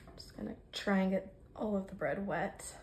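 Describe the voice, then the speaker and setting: An American woman in her thirties talks casually through home cooking. Her mid-pitched voice has a lot of fry in it. She explains each step in a chatty, informal way. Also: moderate pace, a little mellow, a little hiss or hum. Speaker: home cook vlogger. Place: home kitchen, indoors.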